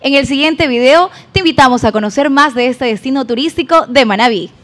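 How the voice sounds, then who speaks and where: A woman in her thirties hosting a regional TV program in a bright, welcoming announcer delivery from a restaurant set. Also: high-pitched, brisk. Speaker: TV program host. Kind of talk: scripted TV presenting. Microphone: desk microphone on stand.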